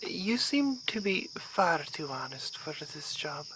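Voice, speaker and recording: Actress as vampire baroness for ASMR roleplay: courtly, coolly teasing, very unhurried delivery, clear cricket noise around her. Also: sits low, very animated, a bit bright, full character voice, low-quality recording. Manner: formal, measured, drawn-out theatrical phrasing. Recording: outdoor ambience with clear insect noise.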